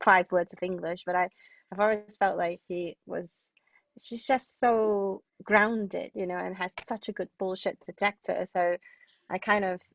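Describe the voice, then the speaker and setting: A woman from Hong Kong giving thoughtful interview answers as a guest playwright, often halting and restarting mid-sentence. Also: lowish voice, vocal fry, no echo, dull audio.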